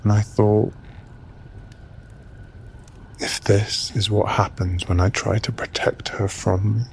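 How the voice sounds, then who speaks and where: A man playing a centuries-old, guilt-ridden vampire in a whispered audio drama, speaking in a soft, measured way. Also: fairly low pitch, flat delivery, slight background noise. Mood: rueful. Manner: soft, breathy, measured delivery.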